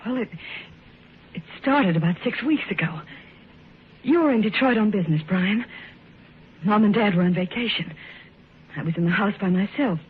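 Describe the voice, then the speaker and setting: An actress playing Elaine, a frightened young woman in a 1950s radio drama, telling her story in an anxious, halting way. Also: medium pitch, animated, lightly acted. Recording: tinny old radio broadcast, faint hiss.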